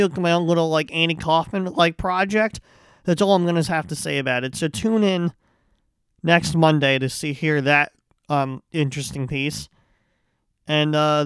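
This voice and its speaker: Clear, higher-voiced man rambling on a podcast. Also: no room sound, clean studio sound. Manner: rambling, stumbling storytelling. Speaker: podcast host and stand-up comedian. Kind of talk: casual solo podcast monologue.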